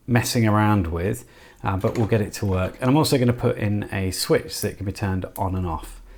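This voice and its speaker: A man's voice: lowish voice, creaky, darkish tone, slight background noise. A man casually talking through a hands-on electronics build, thinking aloud in a tentative, self-deprecating way. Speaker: host of an electronics project channel.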